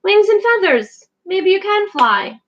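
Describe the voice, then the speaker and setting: A woman's voice: very high voice, very animated, slow pace, highs cut off, voice pushed up, exaggerated voice. A woman voicing a big, doubtful snow petrel who talks in a matter-of-fact, musing way.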